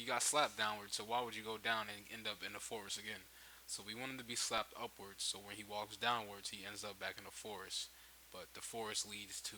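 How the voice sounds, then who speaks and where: A man with a fairly low but very bright, cutting voice talks through a tutorial. He is informal and thinks out loud as he goes. The home recording carries a faint hiss. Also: rough recording. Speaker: game-making tutorial host. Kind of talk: casual screen-recorded tutorial narration. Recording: hissy home microphone recording.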